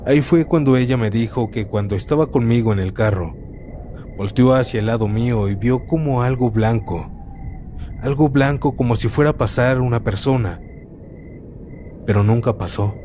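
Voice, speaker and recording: A man reading first-person horror stories aloud as a scripted narration, in a calm, steady storytelling manner. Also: medium pitch, moderate pace, a little hiss or hum.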